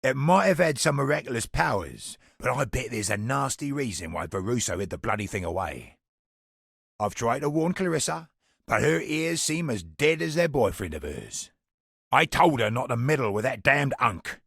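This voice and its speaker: An actor playing a sardonic, roguish antiquities trader in a fantasy video game, with a gravelly, husky British voice and a wry, colloquial way of talking. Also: male voice, mid-range voice, expressive, normal speed, fairly bright tone, dry recording, studio quality, heavily acted. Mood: grave, warning. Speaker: game non-player character merchant. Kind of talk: theatrical video game character dialogue.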